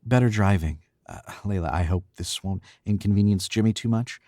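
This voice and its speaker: A man playing a deep, smug young host. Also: fairly quick, darkish tone, no echo, clean studio sound. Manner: glib, breezy, smug. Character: smug, cocky young man hosting a party. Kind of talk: old-time radio drama acting.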